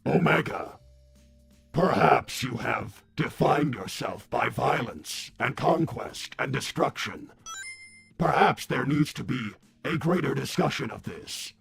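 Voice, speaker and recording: A man voicing a soft-spoken, deliberate robot hedgehog with formal, stuttering, hesitant speech in a livestream roleplay. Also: medium pitch, expressive, creaky, husky, faint music behind, exaggerated voice. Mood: measured. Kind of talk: improvised character roleplay on a livestream.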